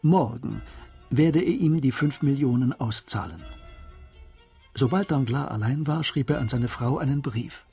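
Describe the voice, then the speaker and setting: A man narrating a literary radio drama in German, in a scripted storytelling style with a soft-edged voice. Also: mid-range voice, a little slow, gravelly, faint music behind.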